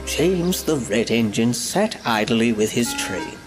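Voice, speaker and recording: A man's voice: medium pitch, expressive, slow pace. A man narrating a scripted children's-style story as a storyteller. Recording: loud music behind the voice.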